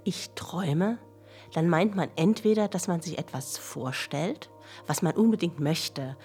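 Low-voiced woman narrating over music. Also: a little mellow, husky. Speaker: narrator explainer. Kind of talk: scripted narration for children.

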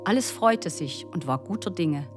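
A woman's voice: lowish voice, expressive, normal speed. A woman narrating a scripted folk tale as a storyteller. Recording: music behind the voice. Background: instrumental music.

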